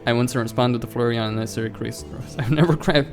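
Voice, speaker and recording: A man's voice: medium pitch, fast, faint music behind. A man hosting a let's play, reading a visual novel aloud and stumbling over words now and then, with casual side comments.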